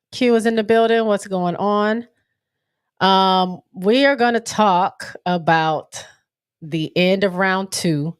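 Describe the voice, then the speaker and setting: A woman hosting a live stream, chatting casually about basketball in a relaxed, slangy way with plenty of fillers. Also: fairly low pitch, clean tone, no echo, clean studio sound. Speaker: livestream host.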